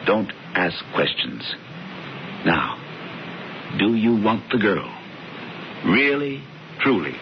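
An actor playing a smooth-talking, mysterious, persuasive friend in 1970s radio drama style, insistent and dramatic in his emphasis. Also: lowish voice, big pitch swings, slow pace, long pauses, heavily acted. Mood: commanding. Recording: muffled, hissy 1970s radio broadcast recording.

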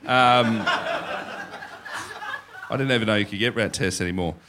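A man's voice: lowish voice, takes it slowly, bright, forceful tone. A man with an Australian accent co-hosting a live comedy podcast, sounding a bit stuffy from a head cold and telling stories with acted-out quotes. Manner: storytelling, acts out quotes. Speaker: podcast co-host.

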